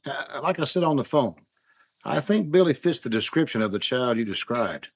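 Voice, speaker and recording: A man's voice: mid-range voice, very animated, normal speed, breathy edge. An actor playing a polite, accommodating male school official.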